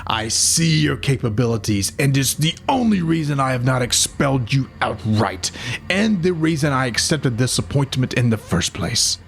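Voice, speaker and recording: A man's voice: mid-range voice, lively intonation, normal speed, soft background music, natural voice. A man playing a stern, disapproving aging university dean in an audio drama, formal and admonishing.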